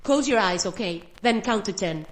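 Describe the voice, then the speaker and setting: A woman's voice: medium pitch, lively intonation, moderate pace, lightly acted. A woman playing an uneasy, curious mother new to the bayou, polite and tentative, in a horror radio drama. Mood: soothing. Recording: clear background noise of night insects. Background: crickets and frogs at night.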